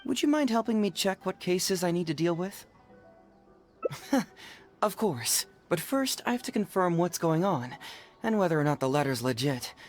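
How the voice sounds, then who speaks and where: A man's voice: sits high, animated, moderate pace, soft background music. A man voicing a clever, easygoing young male detective in a video game, with a light, youthful voice and articulate delivery. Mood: breezy, casual. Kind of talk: video game dialogue acting.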